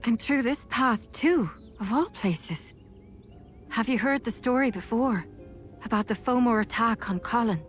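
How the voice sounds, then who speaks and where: A woman's voice: fairly high pitch, expressive, a little slow. A woman playing a seasoned, easygoing female mercenary in a fantasy video game cutscene. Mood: grave, pensive. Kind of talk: English game cutscene voice acting. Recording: music behind the voice.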